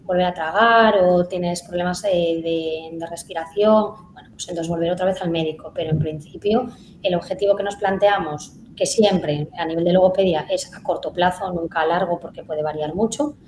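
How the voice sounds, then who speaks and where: Speech therapist, woman, lowish voice, hesitant, roomy echo. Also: fairly even intonation, normal speed, darkish tone. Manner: hesitant, many fillers. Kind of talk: live conference Q&A. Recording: echoey room, faint noise.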